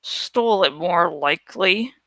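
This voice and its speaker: Woman acting a gruff, surly storybook butcher, slow delivery. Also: lowish voice, expressive, bright, forceful tone, no room sound, pitched below the performer's own voice, full character voice, poor audio. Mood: muttering, suspicious. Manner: curt, dismissive, impatient. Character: gruff, surly, calculating male village butcher. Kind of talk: dramatised storybook reading.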